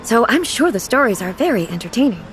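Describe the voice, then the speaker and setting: A woman's voice: on the high side, sing-song, over loud music. A woman playing a composed, regal ruler in a video game, speaking formally with earnest curiosity. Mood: composed, hopeful. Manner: formal, measured, earnest, literal-minded.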